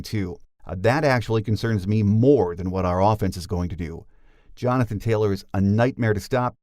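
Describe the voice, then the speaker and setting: Low-voiced American man hosting a sports podcast. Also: a little mellow. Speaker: podcast host. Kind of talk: sports podcast monologue and interview.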